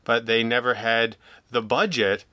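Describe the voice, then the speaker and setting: A man talking casually as an interview guest, in a rambling, halting way, emphatic in his opinions. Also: mid-range voice, steady tone, pushed and bright, no echo. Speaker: podcast guest, audio drama writer and producer.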